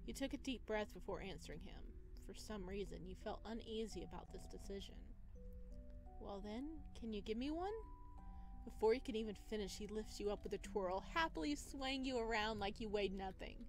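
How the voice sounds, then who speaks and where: A woman doing casual, excitable let's play commentary and reading a visual novel aloud. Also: medium pitch, brisk, few pauses, faint music behind. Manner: expressive, excitable. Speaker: gaming video creator.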